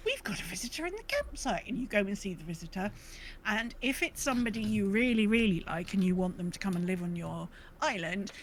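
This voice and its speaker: Wry woman podcaster with noisy background. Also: mid-range voice. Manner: wry, self-correcting storytelling. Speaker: podcast co-host. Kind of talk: casual chat about gaming.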